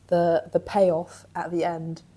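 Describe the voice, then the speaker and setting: A young woman, croaky from a cough, chatting casually in a knitting vlog as she thinks aloud. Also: fairly low pitch, monotone, slow, dark tone. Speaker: knitting vlogger.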